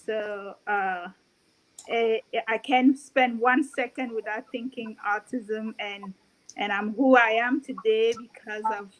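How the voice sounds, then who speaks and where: A woman's voice: on the high side, steady tone, slow, slight background noise, poor audio. A woman from Cameroon introducing herself as a guest on a video call, with many hesitations. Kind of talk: online talk show guest.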